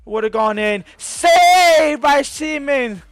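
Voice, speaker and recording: A man giving excitable live commentary over his own video game match, his voice going hoarse. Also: extremely high pitch, slow pace, no echo. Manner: excitable, bursts into shouts at big moments. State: voice getting hoarse. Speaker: gaming video host.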